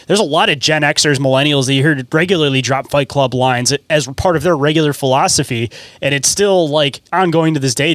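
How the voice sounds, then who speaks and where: A man's voice: fairly high pitch, a bit bright, no echo. A man hosting a podcast in casual chat, with slangy, conversational phrasing full of filler words. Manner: slangy, filler-heavy, says "like" and "dude" often. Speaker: podcast host.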